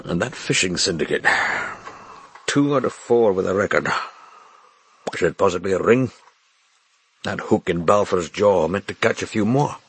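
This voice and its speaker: A man's voice: low voice, expressive, moderate pace, pauses often, breathy edge. A man playing a blunt, world-weary senior police inspector in a radio crime drama. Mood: grave.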